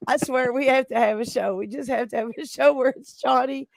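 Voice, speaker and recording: A woman's voice: high-pitched, fairly quick, gravelly, slight background noise. A woman co-hosting a live stream, chatting loosely and swearing freely. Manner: laughs a lot, loose and profane. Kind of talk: casual live-stream chat.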